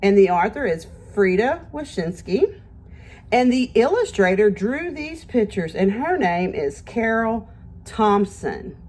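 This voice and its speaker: A woman's voice: mid-range voice, a little mellow. A woman teacher giving a read-aloud lesson to young children, speaking expressively in simple words and addressing them directly with questions and praise.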